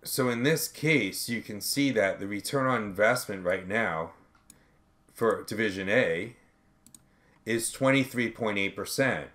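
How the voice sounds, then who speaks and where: A man working step by step through an accounting tutorial as an instructor, in a measured way, reasoning aloud as he calculates. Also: fairly low pitch, a bit bright. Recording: background noise with keyboard typing clicks.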